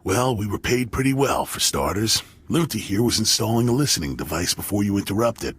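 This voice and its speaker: Hired goon, video game, rough husky low voice, offhand, cocky, matter-of-fact menace. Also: male voice, expressive, fairly quick, dry recording. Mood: casual menace. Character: cocky, casual male hired thug. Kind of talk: dramatic video game acting.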